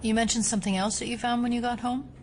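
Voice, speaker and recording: An actress playing a sceptical, no-nonsense FBI agent in an audio drama, speaking in a dry, measured way. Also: female voice, a little high, steady tone, a little mellow, lightly acted. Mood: businesslike. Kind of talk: naturalistic audio drama acting. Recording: clear background noise.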